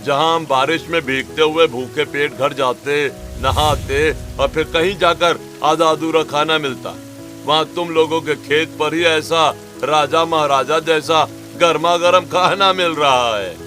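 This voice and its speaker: Man playing farmer, slightly high, bright, humble, grateful, cartoon drama. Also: fairly even intonation, unhurried, faint music behind. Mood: proud, grateful. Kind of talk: cartoon story voice acting.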